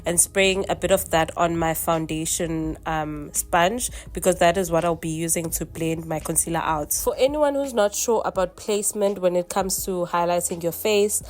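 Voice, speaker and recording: A woman's voice: fairly low pitch, normal speed, hardly any pauses. A young woman, congested from a cold, chatting through a makeup tutorial and explaining each step. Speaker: makeup vlogger.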